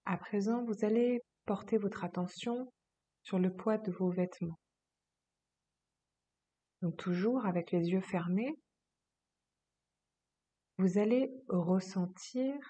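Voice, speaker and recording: A woman yoga teacher leading a guided relaxation meditation, speaking slowly and calmly in a soft, husky voice with long pauses. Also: medium pitch. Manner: slow, calm, soothing instruction with long pauses.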